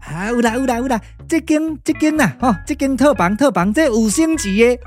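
A man's voice: very high-pitched, lively intonation, brisk, faint music behind, pitched above the performer's own voice. A man voicing an eager, fussy male servant in a puppet radio comedy scene, chattering and repeating himself. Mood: fussing, bustling. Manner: repetitive, bustling chatter. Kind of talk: Taiwanese glove-puppet radio drama.

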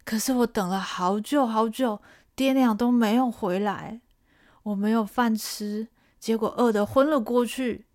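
A woman voicing a poor, stubborn orphan peasant boy who talks in a drawn-out, pleading way. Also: a little high, a little mellow, natural voice. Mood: wistful. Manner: defiant, pleading, drawn-out delivery.

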